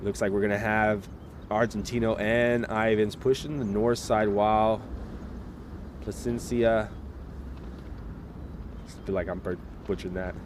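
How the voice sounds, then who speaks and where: A man casts an esports match in an easy, chatty way, speaking right to the viewers. His voice sits at a middle pitch and carries a slight cold. Faint outdoor noise hums behind him. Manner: relaxed, chatty, talks straight to viewers. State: getting over a slight cold. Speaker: esports caster. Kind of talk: live esports match commentary.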